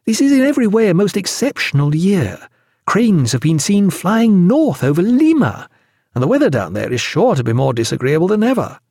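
A man's voice: sits high, sing-song, moderate pace, darkish tone, no echo, clean studio sound, voice pushed up, full character voice. Man as gloomy male ship's doctor, fussy, formal, complaining, swinging intonation, audiobook character.

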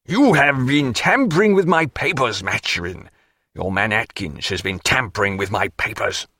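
A man voicing an angry, blustering, possessive gentleman in an audiobook, with accusatory, formal period diction. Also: lowish voice, expressive, normal speed, dry recording, studio quality. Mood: outraged. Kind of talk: dramatised audiobook character voice.

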